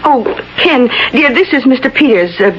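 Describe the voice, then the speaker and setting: An anxious, devoted American wife in old-time radio style. Her voice is high and very animated, flustered and exclamatory. It comes through a dull, lo-fi recording. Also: moderate pace. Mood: polite, flustered. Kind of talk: vintage radio drama acting. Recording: dull lo-fi old radio broadcast, faint noise.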